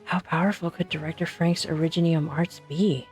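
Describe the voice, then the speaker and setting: A woman's voice: deep voice, steady tone, moderate pace, darkish tone, soft background music, close to an everyday voice. A woman playing a serious, analytical lab researcher in a dramatic game fan dub. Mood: serious, concerned.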